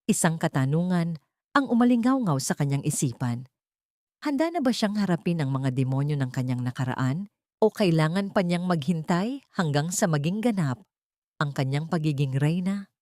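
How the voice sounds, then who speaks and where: A woman's voice: deep voice, animated, moderate pace, darkish tone, dry recording, studio quality. A woman with a Filipino accent narrating a scripted drama story in a solemn, theatrical storytelling manner.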